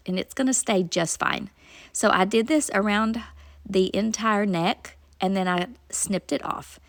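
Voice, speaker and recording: A woman narrates her craft project in a friendly, homey way, explaining each step as she goes. Her voice sits at a middle pitch and is a little stuffy and nasal from a cold. It is recorded close up in a dry, dead room. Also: vocal fry, no room sound. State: nasal from a cold, sore throat. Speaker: craft channel host. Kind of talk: casual craft tutorial voice-over.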